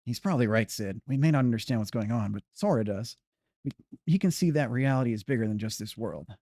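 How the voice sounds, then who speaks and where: A man voicing a gentle, goofy male dog knight from a game, in a dopey, raised drawl. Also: mid-range voice, expressive, fast, no echo, clean studio sound.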